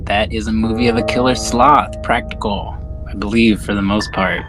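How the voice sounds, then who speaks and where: A man's voice: lowish voice, steady tone, normal speed, low-quality recording. A man co-hosting a podcast, chatting casually about films in slangy, profane, laid-back buddy talk. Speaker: podcast host. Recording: music playing behind the voice. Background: music.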